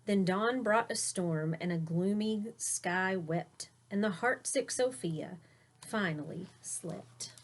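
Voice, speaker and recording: A woman's voice: mid-range voice, slow pace. A woman reading a children's picture book aloud like a teacher, expressively, with simple explanations for young listeners. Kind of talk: storybook read-aloud with explanatory asides.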